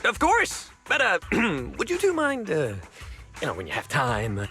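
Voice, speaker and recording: Game role: eager, easily flustered youth, hugely animated, sharp bright tone. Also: male voice, high voice, measured pace, faint music behind, heavily acted. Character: exuberant, boyish young man, eager and easily flustered. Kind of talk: video game character acting.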